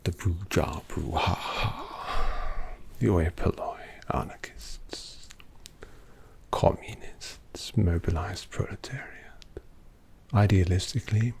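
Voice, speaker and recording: Low gravelly whisper, slow, languid possessive vampire artist, played by a man, teasing ASMR roleplay. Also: a little mellow, heavily acted. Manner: slow, teasing, intimate address to listener.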